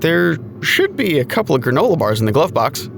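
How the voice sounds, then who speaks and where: An actor playing a casual, deadpan family dad in an audio drama. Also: male voice, fairly high pitch, fairly quick, creaky, music in the background. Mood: dry, casual. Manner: casual, dry. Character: easygoing, deadpan family dad on a road trip.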